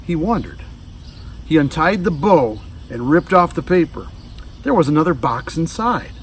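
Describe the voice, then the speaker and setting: A man's voice: fairly high pitch, sing-song, normal speed, recorded from a distance, a little hiss or hum. A man reading a children's storybook aloud, adding casual asides to young listeners. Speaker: storybook reader. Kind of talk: children's read-aloud narration.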